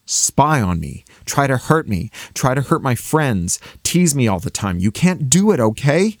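A man's voice: medium pitch, very animated, unhurried, no echo, clean studio sound. A man voicing an exasperated, helpless boy, an older brother pleading earnestly, in an audiobook story.

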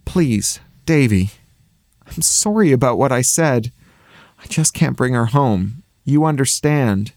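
A man's voice: mid-range voice, lively intonation, takes it slowly, darkish tone, no echo, clean studio sound. A man voicing an exasperated, helpless boy, an older brother pleading earnestly, in an audiobook story.

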